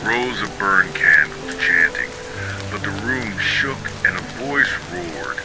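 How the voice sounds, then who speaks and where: Male narrator for bedtime ghost stories, low-pitched and unhurried, measured and dramatic, over clear background music. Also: animated, rough recording. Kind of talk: scripted ghost story narration for sleep.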